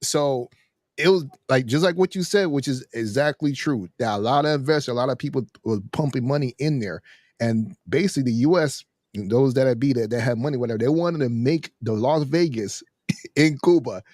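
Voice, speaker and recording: Male panelist, mid-pitched, dry sound, casual and wordy, explaining in a loose conversational way. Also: a little fast, darkish tone, dry recording. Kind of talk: casual chat.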